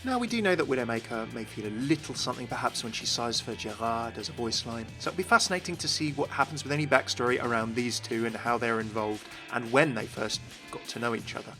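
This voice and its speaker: A man presents a scripted video game explainer. His voice is bright and keen, pitched in the middle, and he addresses viewers directly over faint background music. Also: quick pace, hardly any pauses. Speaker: video host, game lore commentator.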